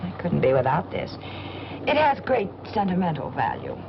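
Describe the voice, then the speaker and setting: Bored, headstrong young woman, low-pitched, noisy film. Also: huge pitch swings, normal speed. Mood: bored, chatty. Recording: dull, noisy old film soundtrack, train rumble.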